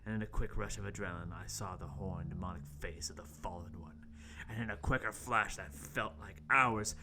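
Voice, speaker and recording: A man's voice: deep voice, a little fast, vocal fry, noisy background, voice pushed down. A man acting a theatrical, portentous intern hero who narrates a horror-comedy in a radio play table read. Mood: haunted, grave.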